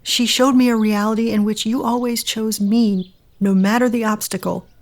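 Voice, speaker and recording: A woman's voice: on the high side, fairly even intonation, moderate pace, lightly acted. An actress playing a tough, sardonic woman in an audio drama, blunt and dry in her delivery. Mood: hurt, firm. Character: tough, wounded, sardonic woman standing up to men.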